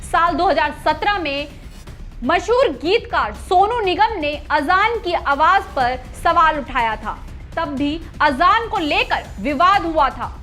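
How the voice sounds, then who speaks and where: A woman's voice: very high voice, expressive, slow, pushed and bright, soft background music. A woman news anchor reading a scripted news report.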